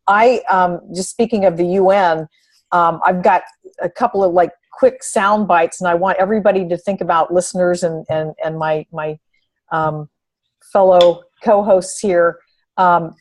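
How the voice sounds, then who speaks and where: Earnest woman talking in halting phrases. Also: lowish voice. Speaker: co-host of a talk show. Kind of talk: unscripted discussion, reading quotes aloud.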